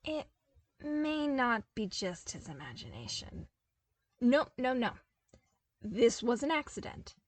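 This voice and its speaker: A fairly high-voiced woman narrating a fan story for a podfic. Her reading is lively, with emphatic bursts where the character's worries pile up. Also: slow pace, pauses often, no room sound. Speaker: podfic reader. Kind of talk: expressive fanfiction narration.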